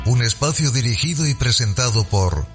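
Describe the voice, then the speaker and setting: Dramatic male announcer over loud music. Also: medium pitch, expressive, moderate pace. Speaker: show intro announcer. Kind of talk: dramatic scripted radio show intro.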